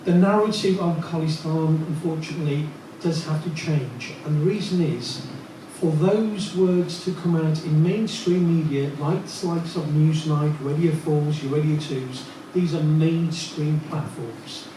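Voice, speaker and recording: A man's voice: on the high side, unhurried, hardly any pauses, mellow. Man questioning a panel in an echoey hall. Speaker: journalist and broadcaster asking from the audience. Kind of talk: audience question at a panel forum. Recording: echoey hall, far from mic, noise.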